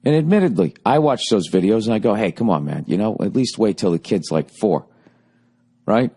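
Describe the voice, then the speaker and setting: Boston guy in his 40s ranting on his podcast, swearing a ton, sarcastic, lowish voice going kinda hoarse. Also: animated, fairly quick, warm tone. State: voice getting hoarse. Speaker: podcast host. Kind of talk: rambling comic monologue.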